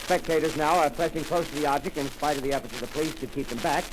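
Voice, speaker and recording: A man's voice: on the high side, close to an everyday voice. A man playing an eager radio field reporter. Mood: eager, breathless. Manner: eager, halting live description with many fillers. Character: excited male radio field reporter at a crash site. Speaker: radio commentator. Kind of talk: 1930s radio drama acting. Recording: noisy old radio broadcast recording.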